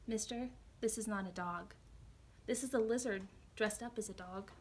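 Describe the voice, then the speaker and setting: A woman's voice: medium pitch, moderate pace, slight background noise, natural voice. A woman reading a picture book aloud, voicing a stubborn child who insists on getting a dog. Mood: insistent.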